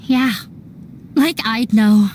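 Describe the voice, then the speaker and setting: A woman playing a wounded, bitter young runaway, a scholar's daughter, speaking in earnest, measured game-dub delivery. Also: on the high side, darkish tone, soft background music. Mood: hurt, bitter. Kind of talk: anime game dub acting.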